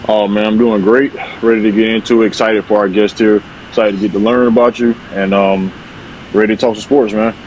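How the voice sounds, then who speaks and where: A man's voice: fairly low pitch, little pitch movement, a little fast, a little mellow, muffled recording, slight background noise. A man co-hosting a sports podcast, eager and rambling, with many restarts. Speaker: podcast co-host. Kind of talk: casual podcast chat.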